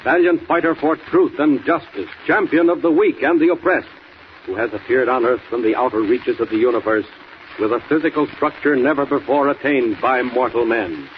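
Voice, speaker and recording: A man's voice: medium pitch, moderate pace, natural voice. A man narrating a 1940s radio serial as a grand, theatrical announcer. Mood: heraldic. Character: solemn, dramatic male radio serial announcer. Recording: hissy, dull old radio transcription.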